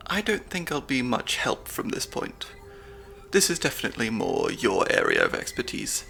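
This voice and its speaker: A man's voice: mid-range voice, wildly swooping pitch, normal speed, gravelly, a little hiss or hum, exaggerated voice. A young man voicing a nerdy, slightly anxious stand-in spy handler with dry wit, in an ASMR audio roleplay. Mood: flustered. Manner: analytical, dry wit, soft-spoken.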